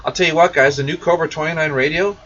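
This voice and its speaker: A man's voice: fairly high pitch, fairly even intonation, quick pace, sharp tone. A man hosting a casual shop livestream, reading and answering viewer comments in a folksy, informal way. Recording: muffled, distant mic with faint noise.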